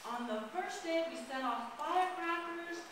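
A woman's voice: very high voice, a little slow. A woman voicing a cheerful, knowing puppet friend who explains things in an exaggerated storytelling way for a children's puppet show. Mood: cheerful, explaining. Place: large indoor library hall, live stage. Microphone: distant camera microphone. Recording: very echoey hall from far off, noisy.

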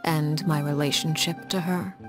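A woman playing a cool, commanding female leader in a video game, formal, measured and condescending, with veiled menace. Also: sits low, fairly even intonation, music in the background, lightly acted. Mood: coolly condescending. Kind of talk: video game character acting.

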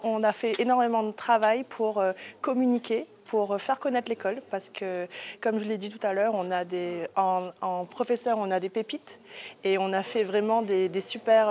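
A woman's voice: mid-range voice, darkish tone. A young woman in her twenties answering informally in a press interview as the president of a school. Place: indoor room at an arts school.

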